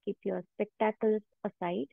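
A woman guiding a meditation as a yoga instructor, giving measured instructions with long pauses between them. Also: mid-range voice, steady tone, gravelly, dry recording. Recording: narrow phone-like sound, very dry.

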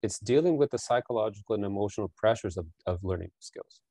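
A man's voice: lowish voice, expressive, quick pace, dark tone, slightly rough, dry recording. A man in his forties, a business founder answering interview questions earnestly from a small bedroom over a video call, often repeating words as he thinks. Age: 40s. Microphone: headset over ears, video call.

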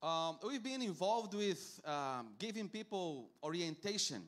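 A man's voice: high-pitched, expressive, fairly bright tone. Portuguese-native man preaching in English. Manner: pauses in short phrases for the interpreter, some filler hesitations. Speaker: guest missionary preacher. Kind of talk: sermon with live interpretation. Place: church stage, large hall.

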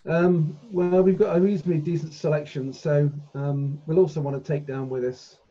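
A man's voice: on the high side, fairly even intonation, moderate pace, mellow. A man playing a tabletop game over a call, talking casually in character and out. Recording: dull, muffled call audio.

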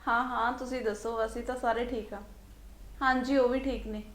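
A woman's voice: on the high side, fairly even intonation, normal speed, a little hiss or hum, natural voice. A woman with a Punjabi accent playing a proud, bossy elder daughter-in-law in a family drama. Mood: chatty, pleased. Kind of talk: colloquial domestic drama acting. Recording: roomy, echoing sound.

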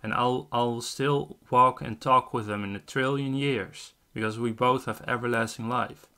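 A man's voice: mid-range voice, steady tone, a little slow, sharp tone. Young man preaching insistently. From Netherlands. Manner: insistent, quotes scripture. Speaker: street-style online preacher. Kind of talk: evangelistic debate in casual chat.

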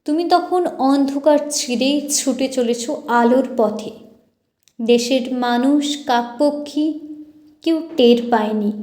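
High-voiced woman reciting poetry, slow and echoing. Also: darkish tone. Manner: solemn, impassioned, deliberate declamation.

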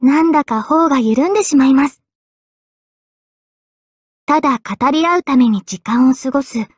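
Anime game role: regal young female sword spirit, high-pitched, gentle, measured and polite, very dry studio sound. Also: animated, no echo. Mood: soft, playful. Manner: poised, polite, measured delivery. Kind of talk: Japanese anime game voice acting. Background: faint background music.

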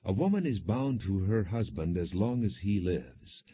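A man narrating an audio Bible in a measured, solemn, non-dramatized reading, with muffled, narrow sound. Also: low voice, lively intonation, slightly rough, no echo.

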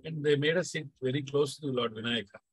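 A man's voice: mid-range voice, a little fast, husky, no echo, low-quality recording. A middle-aged man, adding to a story in casual conversation. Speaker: Carnatic musician, co-speaker.

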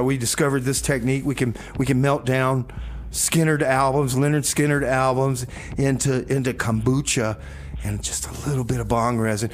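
A man's voice: medium pitch, a little hiss or hum. A man hosting a community radio show with casual comic patter, rambling and repeating his phrases in a folksy way.

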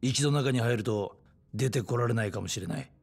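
A weary middle-aged cop, gruff and blunt, average pitch, game cutscene. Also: male voice, a little fast. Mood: serious, cautioning. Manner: gruff, matter-of-fact. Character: gruff, world-weary middle-aged police detective, wary ally. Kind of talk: Japanese game cutscene acting.

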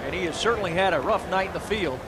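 High-voiced baseball color commentator. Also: male voice, fast talker. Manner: conversational analysis, lifts for big plays. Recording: loud crowd and stadium noise behind.

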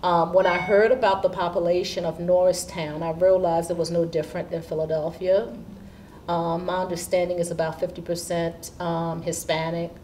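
Woman in her fifties, from Haiti, school administrator, lowish voice, interview answers, faint room noise. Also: flat delivery, moderate pace, darkish tone. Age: 50s. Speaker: school assistant principal. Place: indoor school studio with curtain backdrop. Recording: distant mic with background room noise.

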